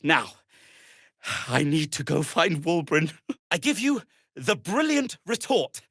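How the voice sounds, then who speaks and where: Anxious gnome inventor, mannerly, hesitant, widely swinging pitch, unhurried, video game character. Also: male voice, very animated, a bit bright, breathy edge, no room sound, clean studio sound, full character voice. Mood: flustered, urgent. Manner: hesitant, stammering, politely formal.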